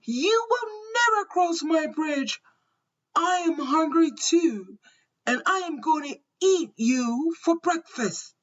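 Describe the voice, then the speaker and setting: A woman voicing a mean, greedy male troll in a storybook, making loud, drawn-out, threatening demands. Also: extremely high pitch, expressive, muffled recording, pitched above the performer's own voice, exaggerated voice. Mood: angry, threatening.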